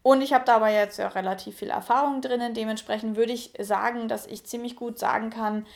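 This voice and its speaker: A woman's voice: fairly high pitch, steady tone, brisk, gravelly. A woman in her late twenties talking casually and frankly to her channel viewers, with frequent fillers and restarts. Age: 20s. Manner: chatty, frank, many fillers. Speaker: YouTuber. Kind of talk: casual personal vlog.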